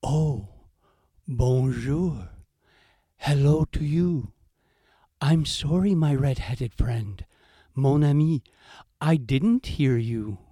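A patient, courteous heron speaks slowly and evenly, as a storyteller voices him. His tone stays measured and unhurried. The recording is dry and close. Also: medium pitch, mellow, slightly rough, no echo. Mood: polite. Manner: slow polite measured speech. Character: calm patient male heron, polite traveler.

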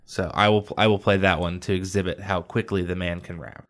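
A man's voice: deep voice, steady tone. A man co-hosting a casual podcast chat, often restarting his sentences and using fillers. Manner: halting, many restarts and fillers. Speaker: podcast co-host.

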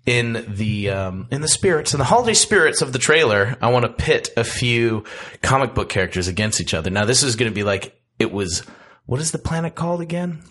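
A young man co-hosting a casual podcast chat, joking and laughing, his voice low from a cough. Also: expressive, a bit bright. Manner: jokey, laughs often, talks quickly in bursts. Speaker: podcast co-host.

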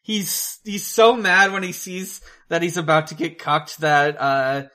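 A podcast co-host chatting casually about a film, halting and hesitant, with dry humour. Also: medium pitch, sharp tone.

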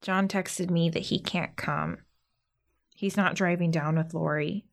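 An actress playing a self-conscious, anxious young eldest sister with an American accent, in a naturalistic modern audio drama. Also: female voice, on the low side, steady tone, a little slow, vocal fry. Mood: downcast. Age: young adult. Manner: self-deprecating, earnest.

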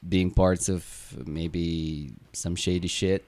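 A man's voice: sits low, flat delivery, slow pace, a little mellow. A man with a Russian accent, a guest on a podcast, chatting casually and laughing often with swearing and self-deprecating jokes. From Soviet Union (Russia).

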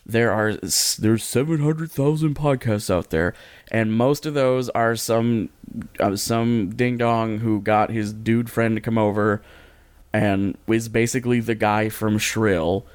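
A man's voice: lowish voice, fairly even intonation, a little slow, clear, resonant tone. A man co-hosting a podcast in casual chat, joking and rambling with frequent swearing. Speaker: podcast co-host.

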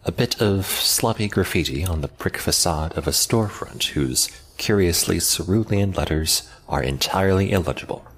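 An actor playing a cold, controlling man who speaks formally and deliberately, with menacing politeness, in a fan-dub audio drama. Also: low voice. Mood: detached, formal. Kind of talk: scripted dramatic dialogue and narration.

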